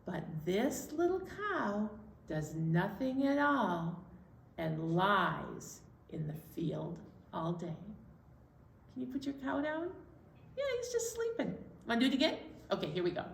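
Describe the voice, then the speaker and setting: A woman's voice: medium pitch, sing-song, a little slow, creaky, slight background noise. A woman hosting story time for young children, playfully giving simple, repeated instructions. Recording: echoey room, distant mic.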